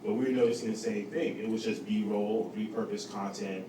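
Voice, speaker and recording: A man with a fairly low voice talks through his work in a conversational way, as a guest at a panel. The microphone is some way off, so the room echoes around him and noise is clearly audible. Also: fairly even intonation, moderate pace, recorded from a distance. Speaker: guest speaker. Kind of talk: conversational explanation at a panel talk. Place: indoor event room with audience.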